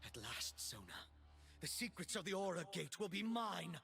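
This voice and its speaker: A man's voice: high voice, big pitch swings, normal speed, vocal fry, sharp tone, full character voice. A man voicing a cocky, power-hungry young villain in a video game, smug, dramatic and teasing. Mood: grandiose. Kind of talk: video game character voice lines. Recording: game music behind the voice.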